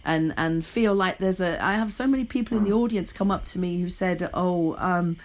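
Low-voiced middle-aged British woman chatting. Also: moderate pace. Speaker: pantomime writer and director, guest. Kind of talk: animated casual interview chat.

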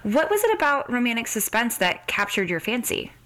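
A woman's voice: on the high side, sing-song, fairly quick, fairly bright tone. A woman hosting a podcast, asking questions in a casual, conversational interview style.

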